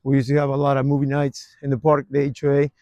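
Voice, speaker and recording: A man, giving a relaxed, rambling walking tour of his old neighborhood outdoors, with lots of fillers and restarts. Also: medium pitch, fast, no room sound. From Argentina. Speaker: real estate vlogger.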